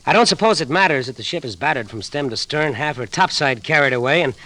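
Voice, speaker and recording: An actor playing an exasperated, sardonic ship's first mate in 1940s radio drama style, salty and incredulous. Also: male voice, on the high side, expressive, quick pace, bright, forceful tone, dry recording. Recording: 1940s radio recording with music.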